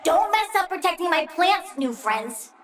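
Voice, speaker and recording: Childlike plant-girl game villain, extremely high-pitched, slow-paced delivery, petulant, gleefully menacing. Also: big pitch swings, faint music behind, heavily acted. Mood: bossy, menacing. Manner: petulant, blunt, swinging from chirpy to menacing. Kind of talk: video game voice acting.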